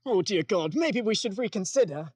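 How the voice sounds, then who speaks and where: A woman's voice: medium pitch, lively intonation, moderate pace, a little mellow, slightly rough, dry recording, clean studio sound. A woman playing a flustered, deferential male committee adviser in a radio comedy sketch. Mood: dismayed. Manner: deferential, prim, apologetic. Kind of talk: old-time radio sketch comedy parody.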